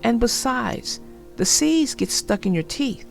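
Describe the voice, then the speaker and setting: A woman's voice: a little high, expressive, unhurried, creaky, faint music behind, voice pushed up. A woman voicing a stubborn, dismissive young boy bear cub, contrary and emphatic, in a storybook read-aloud. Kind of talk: storybook character voice.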